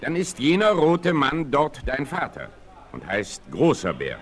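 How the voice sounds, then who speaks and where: An actor playing a bold, principled frontier hunter with a firm, authoritative manner in a 1960s radio play. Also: male voice, mid-range voice, huge pitch swings, measured pace. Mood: calm, respectful. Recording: vintage vinyl radio play, faint noise.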